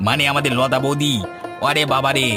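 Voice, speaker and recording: A man's voice: medium pitch, level tone, fairly quick, a crowd in the background, close to an everyday voice. Man voicing a chatty village customer. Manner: chatty, inquisitive. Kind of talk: Bengali cartoon voice acting. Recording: background music.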